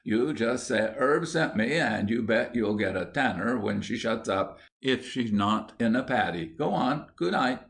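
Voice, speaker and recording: A man's voice: medium pitch, animated, unhurried, gravelly, few pauses. A man voicing a bossy, cheerful Cockney boy who leads a street gang, in a storybook reading. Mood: breezy, bossy.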